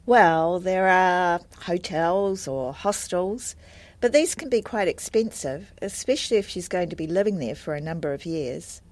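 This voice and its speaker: A woman acting a helpful, friendly adviser in a scripted listening-test dialogue, speaking in a measured, explanatory way. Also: lowish voice, animated, no echo. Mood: helpful, calm.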